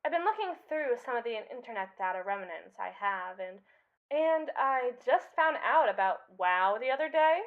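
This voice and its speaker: A woman's voice: fairly high pitch, animated, moderate pace, narrow, tinny audio. A woman playing a haughty, sarcastic evil female robot with a pompous, wordy, theatrical manner in an audio roleplay. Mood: sarcastic, smug. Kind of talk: ASMR audio roleplay monologue.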